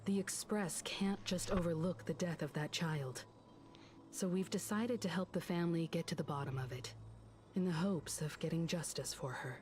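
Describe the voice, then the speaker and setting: Woman playing a low-voiced composed adventurer. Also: a little mellow, a little hiss or hum, lightly acted. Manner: measured, formal.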